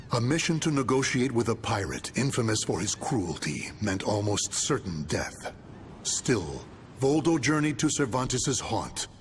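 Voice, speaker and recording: A man's voice: lowish voice, slight background noise. A man delivering scripted, solemn, dramatic narration for a video game story.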